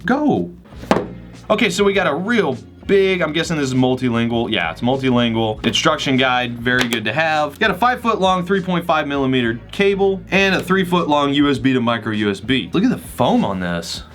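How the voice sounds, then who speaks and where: A man's voice: mid-range voice, animated, normal speed, fairly bright tone, faint music behind. A man hosting a gadget unboxing video, talking casually and enthusiastically to the camera in an informal, chatty way. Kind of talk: casual product review to camera.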